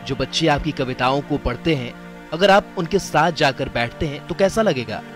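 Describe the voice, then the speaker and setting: Actor as high-voiced, admonishing male leader. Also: expressive, normal speed, music in the background, natural voice. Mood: firm, admonishing. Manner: admonishing, formal. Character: earnest, firmly disapproving male political leader and friend. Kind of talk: dramatized quotation in a documentary.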